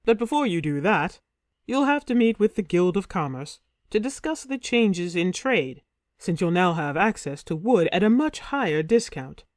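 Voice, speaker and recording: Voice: mid-range voice, very animated, normal speed, no echo, studio quality, lightly acted. A storyteller voicing a young male hero posing as a king, speaking firmly and a little stiffly. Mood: explaining. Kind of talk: storybook reading.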